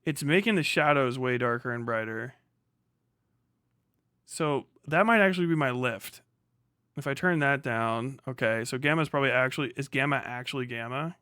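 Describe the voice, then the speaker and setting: A man streams casually in a close, dry recording with no room sound. He talks off the cuff in a medium-pitched voice, half to himself, and swears freely. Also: normal speed, bright, forceful tone, clean tone, dry recording. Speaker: livestream host. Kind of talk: casual unscripted livestream chat.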